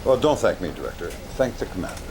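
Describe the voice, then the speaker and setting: An actor playing a gruff, commanding general in a video game cutscene, blunt and authoritative in clipped orders. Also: male voice, fairly low pitch, very animated, fast talker, noisy background. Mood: curt. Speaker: military commander giving briefings.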